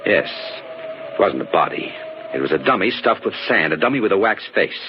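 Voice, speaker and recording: An actor playing a tough, wry young American private detective in a radio drama, with hardboiled slangy delivery. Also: male voice, low voice, sing-song, brisk. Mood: wry, intrigued. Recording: dull old radio recording with music.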